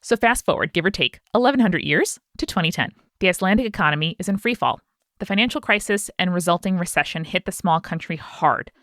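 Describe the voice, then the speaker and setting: A woman co-hosting a podcast, reading out a listener's story in an animated way with chatty asides. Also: fairly low pitch, fast talker, dry recording, clean studio sound. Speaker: podcast co-host.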